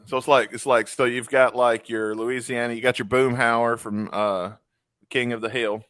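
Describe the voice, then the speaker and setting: A man's voice: medium pitch, steady tone, a bit bright, no echo. Chatty Southern-accented man, dry sound. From Tupelo, Mississippi. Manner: chatty, laughs often, drops into quick impressions. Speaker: podcast host. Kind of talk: casual interview chat.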